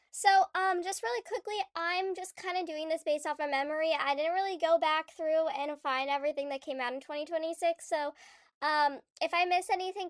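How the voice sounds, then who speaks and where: A woman's voice: extremely high pitch, no echo, clean studio sound. A young woman with a stuffy, congested voice from a cold, chatting casually about a game in a rambling vlog. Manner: chatty and rambling, many fillers and restarts. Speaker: gaming YouTuber.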